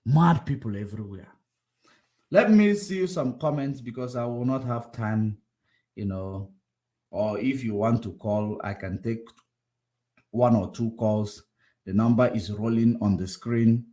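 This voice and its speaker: A man hosting a political livestream, combative and repetitive, swinging between loud outbursts and low muttering. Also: a little slow. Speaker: livestream host. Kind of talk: casual political commentary livestream.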